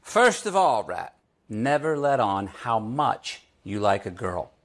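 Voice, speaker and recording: A man's voice: medium pitch, very animated, slow pace, fairly bright tone, exaggerated voice. A man playing a cocky teenage ladies' man, giving showy, emphatic advice in a comic script read. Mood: cocky, persuasive. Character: cocky smooth-talking teenage boy, self-styled ladies' man. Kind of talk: comic screenplay table read.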